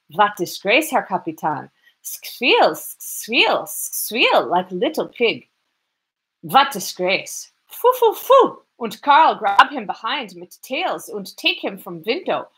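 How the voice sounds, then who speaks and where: An excitable, fawning landlady, as a woman puts her on, who tumbles through broken English in a heavy German accent. Her pitch swings widely and her voice pushes louder than the narration. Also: rough recording. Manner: broken English, rapid and indignant.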